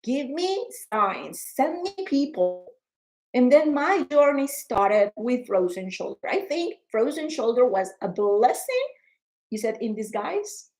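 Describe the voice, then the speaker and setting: A woman in her forties talking to camera in a personal vlog, earnest and emotional, often repeating words and growing animated when frustrated. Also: fairly high pitch, slow pace, poor audio. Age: 40s. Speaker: vlogger.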